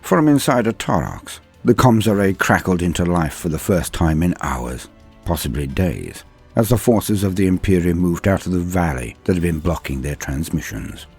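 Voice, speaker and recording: A man narrating a scripted story in a theatrical, measured manner with weighty pauses. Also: sits low, lively intonation, darkish tone, breathy edge. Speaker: storyteller and channel host. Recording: music behind the voice. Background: background music.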